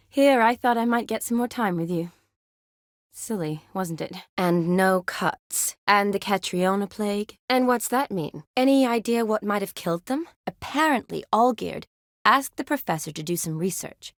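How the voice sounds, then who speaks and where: An actress playing a kind, spirited young woman medic in a video game, teasing and wistful, speaking in an expressive, conversational way. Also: mid-range voice, no room sound, clean studio sound. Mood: tender, wistful, then inquisitive. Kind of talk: video game dialogue acting.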